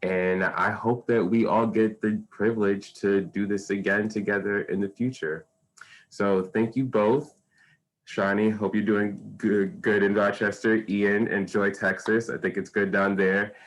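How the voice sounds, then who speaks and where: A man's voice: lowish voice, fairly even intonation, a little slow, rough recording. A man moderating a panel on a video call, asking open questions and thanking the guests.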